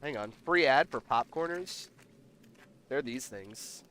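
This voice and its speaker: A congested man with a nasal voice talks to his stream in a loose, rambling way, repeating himself as he reads out the chat. His voice is bright and swings up and down a lot. Faint noise hums behind him. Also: medium pitch, lively intonation, slow pace. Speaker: livestream host. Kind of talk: casual chat with live chat.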